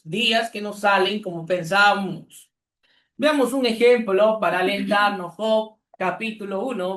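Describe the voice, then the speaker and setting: A man from Peru, preaching an earnest sermon as pastor with deliberate pauses. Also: high voice, a bit bright. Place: church. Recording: echoey church hall.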